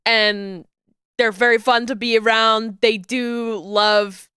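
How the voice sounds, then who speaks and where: A woman's voice: a little high, fairly even intonation, slow, bright, forceful tone, clear, resonant tone, dry recording, studio quality. A woman streamer with a cold, sounding congested, chatting casually and rambling before playing a game. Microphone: desk microphone.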